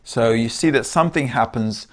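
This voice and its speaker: A man's voice: mid-range voice, moderate pace. A man with a Turkish accent giving a casual lecture over a video call, often checking in with his listeners. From Turkey.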